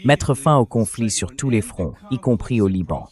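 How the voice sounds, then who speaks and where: A man's voice: fairly low pitch, expressive, normal speed, darkish tone. An AI-generated male voice reading a translated voice-over for the host of a political interview.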